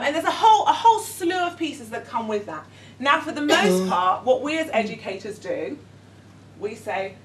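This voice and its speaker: A woman's voice: fairly high pitch, a bit bright. A middle-aged woman with a London accent leading an educators' workshop, hesitant with many ums, friendly and self-deprecating. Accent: London English. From London, England. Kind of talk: conference workshop talk. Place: conference room with audience. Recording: echoey room, speaker far from mic, faint noise.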